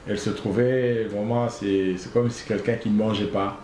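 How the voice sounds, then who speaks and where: A man from Congo, a guest founder of an association, telling a personal story in an interview in an earnest, halting way, repeating his phrases. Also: lowish voice, steady tone, darkish tone. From Congo (DRC). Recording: echoey room, faint noise.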